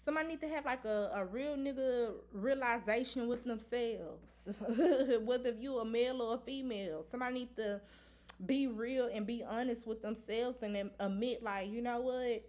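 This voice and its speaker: A woman's voice: a little high, normal speed, a little mellow. A woman giving a casual tarot reading to camera, blunt and emphatic, repeating her points and swearing freely. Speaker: tarot reader. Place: indoor room at a table.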